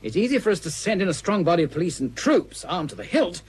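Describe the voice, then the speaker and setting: An actor playing a brisk, cautious security chief in a radio serial, clipped and commanding. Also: male voice, high voice, sing-song. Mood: worried, incredulous. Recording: clear background hiss and noise.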